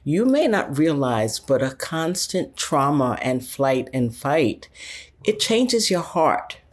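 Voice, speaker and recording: A woman's voice: low-pitched, sing-song, takes it slowly. A woman in her sixties co-hosting a podcast, speaking reflectively in casual discussion. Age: 60s. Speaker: podcast co-host.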